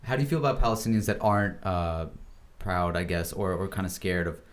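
A man interviewing a guest in a relaxed, curious way. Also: sits low, fairly quick. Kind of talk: casual interview conversation.

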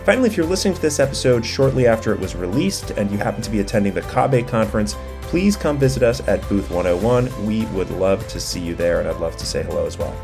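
A man's voice: fairly low pitch, lively intonation, quick pace, gravelly, few pauses. A man delivering a scripted podcast introduction as host. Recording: music behind, recorded on the road.

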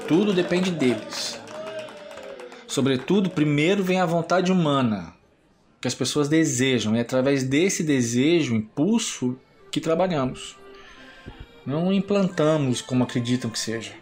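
A man's voice: fairly high pitch, normal speed, darkish tone, soft background music, close to an everyday voice. An actor playing a cynical, mocking male demon who speechifies with sardonic disdain for humans in an audio drama.

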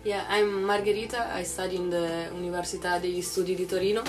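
Flat-voiced young woman. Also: lowish voice, quick pace, music in the background. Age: young adult. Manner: hesitant, many "uh"s. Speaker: master's student. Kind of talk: casual self-introduction.

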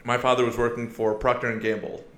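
Animated young man in an echoey room. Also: medium pitch, quick pace, a little hiss or hum. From born in South Korea, raised in Iowa and Asia. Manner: animated storyteller, laughs often, rhetorical questions. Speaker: podcast guest. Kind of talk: casual chat.

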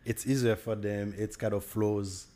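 Low-voiced young Haitian man, hesitant. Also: flat delivery, measured pace, mellow. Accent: Haitian Creole. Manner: hesitant, many fillers and restarts. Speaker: podcast guest. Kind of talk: casual conversation.

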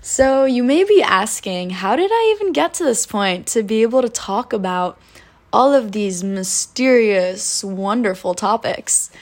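A woman in her twenties hosting a podcast, telling her life story in a soft, reflective and earnest way, with spiritual wording. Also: on the high side, lively intonation, a little slow. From United States. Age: 20s. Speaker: podcast host. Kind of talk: personal storytelling monologue.

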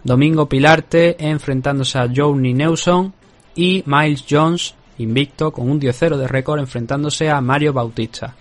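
A man hosting a podcast, giving measured, conversational commentary that previews sporting events in an explanatory way. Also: fairly high pitch, steady tone. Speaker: podcast host.

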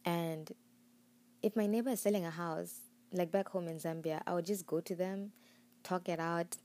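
A woman's voice: on the low side, steady tone, moderate pace, a little mellow. A woman chatting informally as a solo podcast host, telling things in a casual, conversational way. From Botswana, southern Africa. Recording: roomy echo, faint music.

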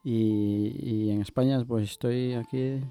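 Guy being interviewed, really mellow dark voice, slowish and halting, faint music. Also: medium pitch, fairly even intonation, smooth. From Ecuador. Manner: hesitant, halting. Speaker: interview guest. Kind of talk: personal testimony in interview.

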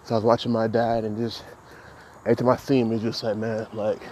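Halting, flat-toned man in his thirties. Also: lowish voice, a little hiss or hum. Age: 30s. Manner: halting, reflective, many restarts and fillers. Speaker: podcast host. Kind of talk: solo motivational podcast monologue.

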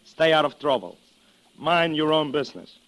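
An actor playing a cold, mocking killer in an old radio drama. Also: male voice, a little high, expressive, moderate pace, fairly bright tone, low-quality recording. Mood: mocking menace. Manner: sly, mocking, threatening. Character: menacing, smooth Mexican male killer, mocking and cold. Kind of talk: 1940s-50s radio drama acting.

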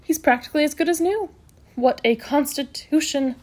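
Woman putting on a bossy, high-pitched hospital matron. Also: moderate pace, darkish tone, close to an everyday voice. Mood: brisk, satisfied. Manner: exclamatory, no-nonsense, emphatic. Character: brisk, bossy, self-assured hospital matron, cheerfully scolding.

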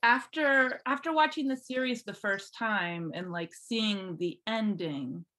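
A woman's voice: medium pitch, a little slow, bright, forceful tone. Woman guest on a podcast just chatting away, says like a lot, telling her story. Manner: chatty and informal, peppered with "like". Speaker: podcast guest, fan fiction writer. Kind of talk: casual chat, telling personal story.

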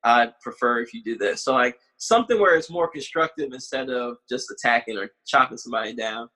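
A man's voice: medium pitch, brisk, sharp tone, low-quality recording. Reflective man in his late twenties. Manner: reflective, searching for words. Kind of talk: casual conversation.

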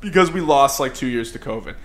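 Male podcast co-host, twenties. Lively pitch movement, slangy, profane, argumentative, conversational. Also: fairly quick. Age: 20s. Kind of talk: casual chat among friends.

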